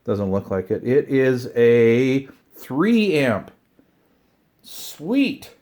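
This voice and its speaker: A middle-aged or older man chatting casually to viewers while unboxing, excited and rambling, often talking to himself. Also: mid-range voice, very slow. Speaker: hobbyist video host. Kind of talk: casual unboxing chat to camera.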